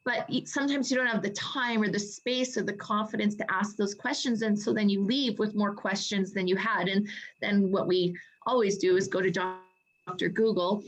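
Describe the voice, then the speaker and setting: A woman's voice: mid-range voice, steady tone, moderate pace, low-quality recording. A woman guest talking casually about her experience, earnest and full of restarts as she tells her story. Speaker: co-author guest. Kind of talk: casual video call chat.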